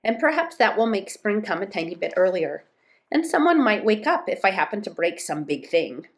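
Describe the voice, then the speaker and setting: A woman's voice: medium pitch, sing-song, normal speed. A woman voicing a lonely young male troll in a storybook, musing aloud in a plaintive way. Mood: hopeful, musing.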